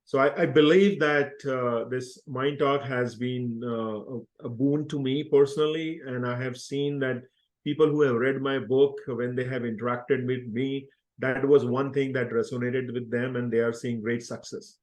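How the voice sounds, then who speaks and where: A man speaking as an interview guest, explaining self-help ideas in an earnest, deliberate way and repeating key phrases. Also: mid-range voice, steady tone, rough recording.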